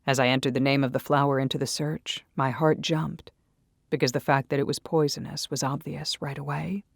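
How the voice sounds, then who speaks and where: A woman giving dramatic first-person story narration in a measured, intimate manner. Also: rumbling low, fairly even intonation, gravelly, no echo, studio quality. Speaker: audiobook-style narrator. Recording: music behind the voice.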